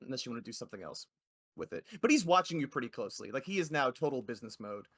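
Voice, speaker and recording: A man's voice: medium pitch, lively intonation, racing, fairly bright tone, slightly rough. A man narrating a tabletop game as game master in a casual, hesitant, conversational way.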